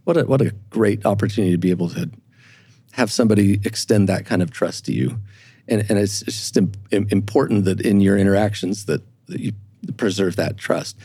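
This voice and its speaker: A man explaining reflectively as a podcast guest, earnest and halting, often repeating words as he thinks. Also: lowish voice, warm tone, husky, dry recording. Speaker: church historian, podcast guest.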